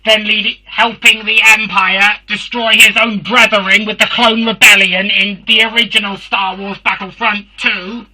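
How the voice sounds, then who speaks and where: A performer voicing a Dalek, a robotic alien warrior hosting a fan review show, with a harsh filtered voice and halting, emphatic delivery. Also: mid-range voice, level tone, sharp tone, rough recording.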